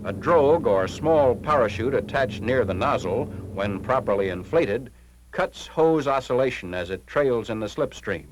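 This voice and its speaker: American male, mid-pitched, formal clipped instructional read for a 1940s military training film, noisy vintage soundtrack. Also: expressive, moderate pace.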